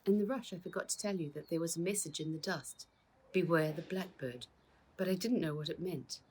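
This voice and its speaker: A woman's voice: fairly low pitch, fairly quick, a little mellow, a little hiss or hum, natural voice. A woman reading the part of a worried, devoted girlfriend in a children's storybook, earnest and caring. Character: worried, tender, devoted girlfriend of injured man.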